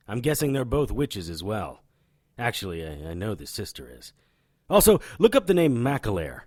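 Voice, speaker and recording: An actor playing a cocky, sardonic occult investigator in an audio drama, with a wry, taunting delivery. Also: male voice, mid-range voice, darkish tone, no room sound, clean studio sound. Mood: wry, businesslike. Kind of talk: audio drama acting with noir-style narration.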